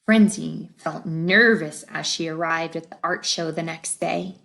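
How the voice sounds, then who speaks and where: A woman reading a children's story aloud in expressive narration. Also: deep voice, a little slow, vocal fry. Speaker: storybook reader.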